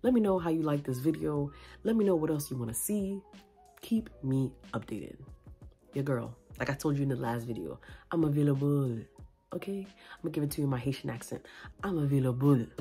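A woman's voice: low voice, expressive, normal speed, long pauses, dark tone, breathy edge, faint music behind. A young woman vlogging from home into a phone on a tripod, chatty and rambling, tired late at night. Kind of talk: casual late-night vlog chat. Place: small room at home, indoors.

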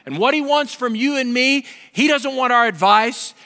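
A man, a pastor preaching an animated sermon on a large stage into a headset mic. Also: normal speed. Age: 50s. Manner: animated, gesturing preacher with wide swings in pitch and volume. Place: large dark stage with a pulpit. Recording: long hall reverb.

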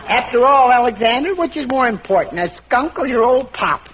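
A man's voice: very high-pitched, lively intonation, fairly quick. An American actor playing a flustered, wistful, bumbling father in an old radio comedy, stammering and chuckling nervously. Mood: flustered coaxing. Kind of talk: 1940s radio comedy acting. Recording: muffled, hissy old radio recording.

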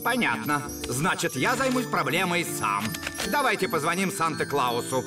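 A blustering little fairy king for a children's cartoon. The voice is high and swoops widely, with a slow, self-important delivery. Music plays underneath. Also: big pitch swings. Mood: self-assured. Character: blustering, pompous male fairy king of a tiny kingdom. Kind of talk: children's cartoon dub acting.

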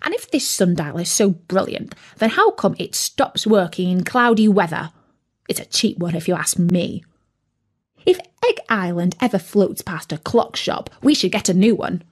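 A woman's voice: lowish voice, expressive, normal speed, husky. A woman voicing an impatient, hungry male turkey who complains indignantly. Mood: grumbling.

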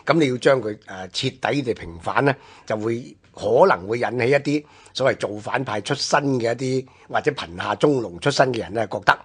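A man's voice: mid-range voice, brisk, a bit bright, husky. A man with a Hong Kong Cantonese accent explaining things conversationally, as a commentator on a radio history programme.

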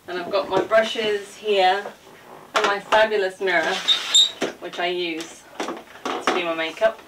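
Female vlogger, 40s, mid-pitched, casual room-tour chat, recorded a little away from the mic in a home room with faint noise. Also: a bit bright. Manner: informal, rambling asides while moving about showing things. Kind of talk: casual chatty vlog talk. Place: indoors, small home dressing room. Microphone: camera a few feet away. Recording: distant camera mic, background noise and clicks.

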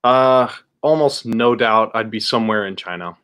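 A man's voice: fairly low pitch, moderate pace, clean tone. A young man, talking easily on a video call from a room at home, wearing earbuds. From Dallas, Texas, United States. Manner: relaxed, easygoing, hedging with uh. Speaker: YouTuber guest. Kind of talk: casual chat. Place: room at his mother's house.

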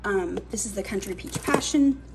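A woman's voice: medium pitch, moderate pace, over music. A young adult woman, chatting casually through a grocery haul in her home kitchen, with lots of fillers. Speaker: cooking channel host.

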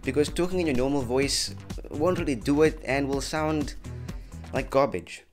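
A man's voice: mid-range voice, normal speed. A young man hosting a scripted but casual video tutorial, addressing viewers in a chummy, jokey way. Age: young adult. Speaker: tutorial host. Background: background music.

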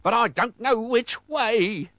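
A man voicing a blustering, clumsy pirate captain with drawn-out theatrical delivery in a storybook reading. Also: fairly high pitch, big pitch swings, fairly bright tone, dry recording, pitched above the performer's own voice, exaggerated voice. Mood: wailing.

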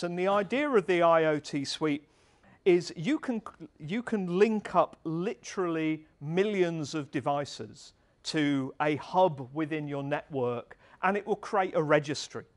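A middle-aged man giving an earnest, conversational talk at a tech meetup, speaking into a handheld mic in a large hall. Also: on the high side. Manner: conversational, earnest, gestures while presenting. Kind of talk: conference talk. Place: large dark event hall.

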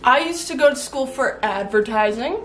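A woman's voice: a little high, animated, moderate pace, loud music behind the voice. A young woman talking straight to camera from a small bedroom, chatty and self-mocking, with a giggly edge. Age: young adult. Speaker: comedy YouTuber. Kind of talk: casual chat to camera.

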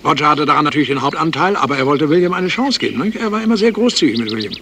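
A detective's curious friend in a vintage radio thriller. His gravelly voice reasons deliberately and insinuatingly. Birdsong and clear noise sit behind him. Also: fairly high pitch, lively intonation. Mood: reasoning. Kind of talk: 1970s German radio crime drama acting.

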